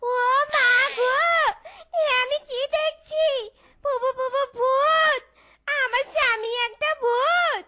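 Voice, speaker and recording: A performer voicing an excitable fox wife in a cartoon, scolding and exclaiming in horrified wails, with music behind. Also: extremely high pitch, takes it slowly. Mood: frightened. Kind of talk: cartoon voice acting.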